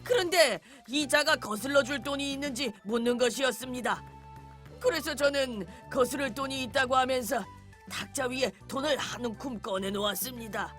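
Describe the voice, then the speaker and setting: A woman's voice: sits high, moderate pace, fairly bright tone, faint music behind. A woman voicing an exasperated male oil seller in a children's storybook drama, telling his side indignantly.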